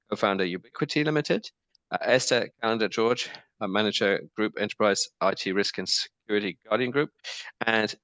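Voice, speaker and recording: A man's voice: lowish voice, a bit bright, dry recording. A man moderating an online panel discussion, upbeat and chatty, with plenty of ums and restarts. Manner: upbeat, filler-heavy, restarts names.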